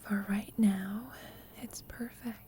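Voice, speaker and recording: A young woman playing a shy, lovestruck student roommate in an intimate ASMR roleplay, confiding hesitantly in a soft, breathy whisper. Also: medium pitch, steady tone, takes it slowly, lightly acted. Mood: tender, content.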